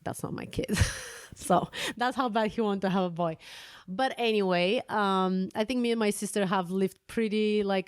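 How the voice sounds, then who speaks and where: Woman, Bulgarian accent, casual podcast host, mid pitch, dry close sound. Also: a little mellow, no echo. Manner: chatty, laughs often, searches for words. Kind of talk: casual storytelling.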